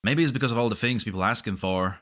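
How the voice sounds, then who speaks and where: Deep, bright-voiced dutiful man acted by a man. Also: quick pace, close to an everyday voice. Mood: concerned. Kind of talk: scripted game fan dub acting.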